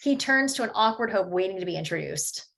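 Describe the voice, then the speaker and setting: A woman reading stage directions at a screenplay table read in a steady, matter-of-fact way. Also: mid-range voice, fairly quick, creaky.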